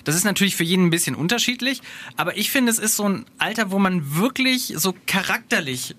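A man's voice: sits high, expressive, quick pace, pushed and bright. A young man co-hosting a podcast, chatting casually and searching for his words. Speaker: podcast co-host.

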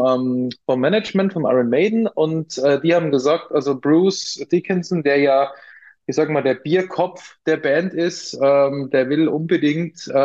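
A man's voice: on the high side, darkish tone. A man in his forties with an Austrian accent, answering casually as an interview guest and often hesitating. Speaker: interview guest, brewery co-founder. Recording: dull, lo-fi interview audio.

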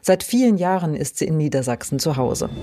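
A woman hosting a podcast, reading scripted narration in a clear, even way. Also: low voice, fairly quick, noisy background. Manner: clear, even read-aloud delivery. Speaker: podcast host.

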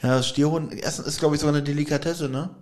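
A man's voice: a little high, steady tone, fast, darkish tone. A young man co-hosting a podcast, chatting casually about an audio drama, joking and laughing often. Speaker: podcast co-host.

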